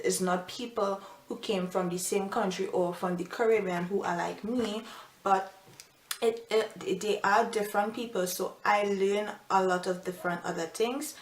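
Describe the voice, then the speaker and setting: A young woman from Trinidad with a Trinidadian accent, giving earnest, reflective advice in a casual vlog, with frequent fillers. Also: mid-range voice. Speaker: vlogger. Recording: echoey room with faint music. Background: soft piano music.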